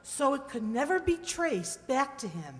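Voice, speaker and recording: A woman playing an excitable, devoted wife and amateur sleuth in an old-time style radio mystery. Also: sits high, unhurried, long reverb tail. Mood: attentive.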